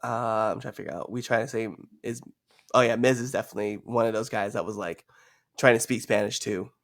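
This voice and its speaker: A man's voice: on the low side, fairly even intonation, a little fast, a bit bright, no room sound, studio quality. A man co-hosting a wrestling podcast, trading jokes in loose banter, laughing often and swearing freely. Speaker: podcast co-host.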